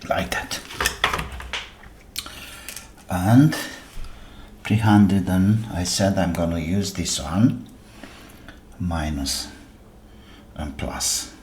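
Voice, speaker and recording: A man casually narrating a workbench repair, half muttering to himself in short phrases with pauses between them. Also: low-pitched, a little mellow, slight background noise. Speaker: electronics repair host.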